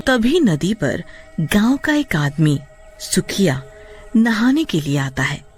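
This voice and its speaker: Lively male story narrator. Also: sits high, slow, faint music behind. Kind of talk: scripted children's horror story narration.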